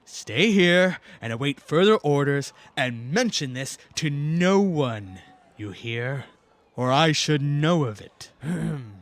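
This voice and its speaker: A man performs a young knight pretending to be a lordly high priest in an audio drama. The voice is fairly high and very animated, slow and pompous, with commanding orders. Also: dry recording. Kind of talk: fan audio drama acting.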